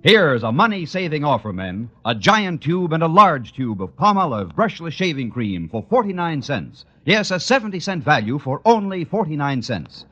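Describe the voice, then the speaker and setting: A man's voice: a little high, sing-song, normal speed, hardly any pauses, a bit bright, soft background music. A man reading a commercial in 1940s radio advertising style. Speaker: commercial announcer. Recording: dull vintage radio recording.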